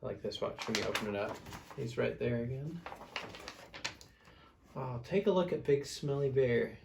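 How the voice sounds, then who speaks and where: A man's voice: medium pitch, vocal fry, background noise. A man reading a picture book aloud to children as a storyteller, with dramatic emphasis. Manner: dramatic emphasis, pauses to prompt listeners.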